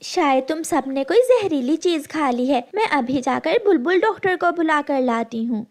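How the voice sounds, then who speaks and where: A woman's voice: sits high, animated, normal speed, a little mellow. A woman voicing a kindly, concerned female sparrow in a cartoon, calling out urgently.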